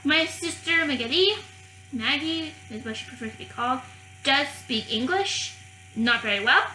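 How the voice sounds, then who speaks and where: A woman's voice: on the high side, bright, forceful tone, a little hiss or hum. A young woman whose first language is French talks casually to her channel viewers, rambling with lots of fillers and restarts. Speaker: vlogger. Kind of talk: casual talking-head vlog. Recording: echoey room.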